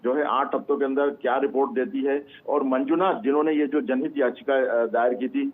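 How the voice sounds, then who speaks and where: A man reporting live as a news correspondent, halting, with frequent hesitations and repeated words. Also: medium pitch, steady tone. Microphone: phone or remote link. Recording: narrow, phone-like line.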